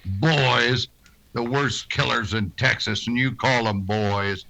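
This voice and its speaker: An actor playing a stern, weary frontier marshal in a western radio drama, terse, plain-spoken and grave. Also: male voice, mid-range voice, slow pace, vocal fry, pushed and bright, exaggerated voice, poor audio. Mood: grim, stern.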